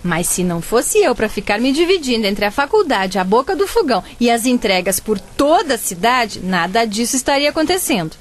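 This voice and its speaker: A sarcastic grumbling daughter. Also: female voice, fairly high pitch, big pitch swings, brisk, hardly any pauses. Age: young adult. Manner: sarcastic, complaining. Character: sulky student daughter Ana. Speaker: radio drama actress. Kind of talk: radio soap acting.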